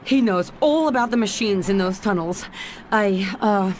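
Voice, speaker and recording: A woman's voice: medium pitch, lively intonation, normal speed, darkish tone, slight background noise, close to an everyday voice. A woman voicing a capable Russian secret agent in a video game, with a Russian accent and a composed, teasing manner. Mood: sly, teasing. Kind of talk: video game cutscene acting.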